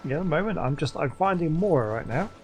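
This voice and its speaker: Casual male gamer with lively intonation. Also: a little high, fast. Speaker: gaming YouTuber. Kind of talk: casual gameplay commentary. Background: game music.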